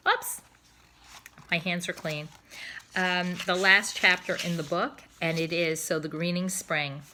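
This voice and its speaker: A woman reading a children's book aloud in an expressive, emphatic way. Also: lowish voice, a little hiss or hum. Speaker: storybook reader.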